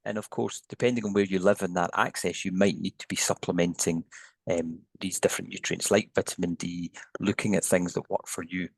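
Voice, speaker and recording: Deep, gravelly Scottish man. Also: fairly even intonation, fairly quick, dry recording. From Scotland. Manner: thoughtful, hedging explanations with restarts. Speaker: headache neuroscientist guest. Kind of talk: podcast interview.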